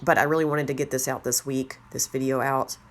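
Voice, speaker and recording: Woman, deep for her range, slightly raspy, rambling friendly tutorial host. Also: steady tone, a little fast. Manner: chatty, rambling, self-deprecating. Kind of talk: casual how-to narration.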